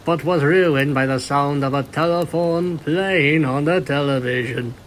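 Guy reading a fanfic story really slowly, voice a bit high, faint noise behind him. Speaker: narrator. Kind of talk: scripted storytelling, fan fiction reading.

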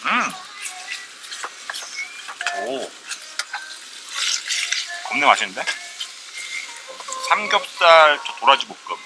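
A man with a medium-pitched voice narrates his camping trip in a casual, chatty way. He addresses viewers politely. The campsite recording carries clear background noise. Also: very animated, very slow, long pauses. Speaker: camping vlogger. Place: winter campsite, outdoors and in a tent. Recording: clear outdoor ambient noise.

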